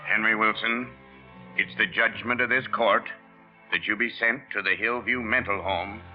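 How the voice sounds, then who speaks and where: A man plays a stern judge in a low, formal voice with solemn weight. It is forties radio drama on a dull vintage recording, with music clearly behind. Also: steady tone, normal speed, lightly acted. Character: stern, solemn male judge passing sentence. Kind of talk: 1940s radio acting.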